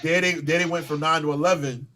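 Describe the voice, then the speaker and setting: A man in his thirties hosting a casual wrestling chat, excitable and slangy, with plenty of swearing. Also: on the high side, fast talker. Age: 30s. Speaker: podcast host.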